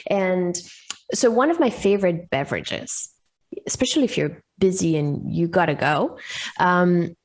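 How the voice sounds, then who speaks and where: A woman's voice: fairly low pitch, expressive, a little slow. A woman with a Swiss-Colombian accent, a podcast guest explaining nutrition in an enthusiastic, explanatory way with upbeat asides. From Switzerland. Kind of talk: casual podcast interview.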